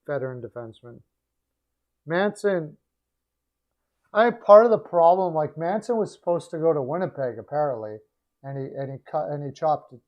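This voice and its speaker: A man hosting a live hockey chat stream, hoarse and losing his voice, talking in a low-energy, hesitant way. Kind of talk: casual hockey chat with viewers. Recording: dull lo-fi stream audio.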